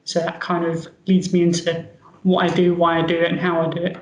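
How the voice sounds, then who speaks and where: A man's voice: a little high, completely flat delivery, a little fast. Upbeat London man in an echoey room. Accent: London English. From England. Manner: upbeat, chatty, with fillers. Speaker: guest, medical device founder. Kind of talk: casual interview talk.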